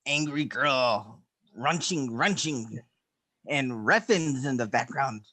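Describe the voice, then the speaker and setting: A man voicing a garbled comic narrator who reads halting, repetitive descriptions in broken speech, mixing up and dropping sounds. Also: medium pitch, expressive, bright, forceful tone, heavily acted. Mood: halting, clownish. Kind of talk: comic dramatic reading played for laughs.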